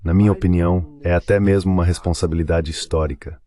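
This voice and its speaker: A man speaking as the translated voice of a panel guest, giving measured interview commentary on politics. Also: low voice, steady tone, warm tone.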